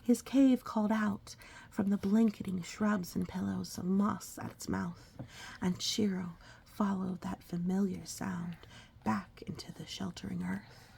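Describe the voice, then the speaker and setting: A woman's voice: medium pitch, steady tone, measured pace, vocal fry, dark tone, a little hiss or hum. A woman, a mother, reading a picture book aloud and chatting with her small child about it. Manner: asks and echoes a small child's questions. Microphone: phone recording.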